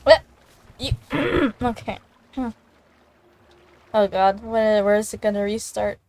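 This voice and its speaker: A woman's voice: medium pitch, slow pace, a little mellow, no echo. A woman giving casual, offhand commentary as she plays a game. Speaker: gamer playing on a stream.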